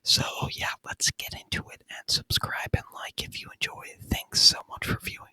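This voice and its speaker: A man speaking in a breathy whisper, chatting casually in ASMR style and softly repeating words, close to the microphone. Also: normal speed, no room sound. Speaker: ASMR video creator reviewing a movie.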